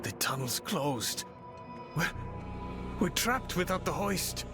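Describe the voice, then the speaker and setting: An actor playing a weary, nervous watch commander in a video game cutscene, hesitant and grudging. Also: male voice, on the high side, sing-song, takes it slowly, over loud music, full character voice. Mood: uneasy. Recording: loud game score behind voice.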